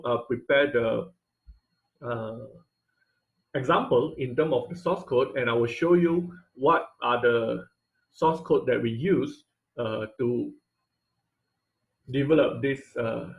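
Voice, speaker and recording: A man's voice: medium pitch, slow pace, gravelly, long pauses, phone-quality sound. Man lecturing with tag questions. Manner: explanatory, frequent "uh" and "right?" tag questions. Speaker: robotics instructor. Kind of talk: online class lecture over slides.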